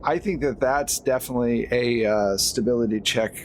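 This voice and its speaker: A man's voice: medium pitch, moderate pace. Man running a tabletop game, background noise. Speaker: game master of a tabletop horror game. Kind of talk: improvised tabletop game narration.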